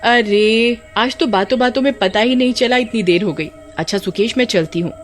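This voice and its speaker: A woman playing a teasing, easily frightened young village woman in animated horror story dialogue, exclamatory and dramatic. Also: fairly high pitch, normal speed, lightly acted. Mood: coy, teasing.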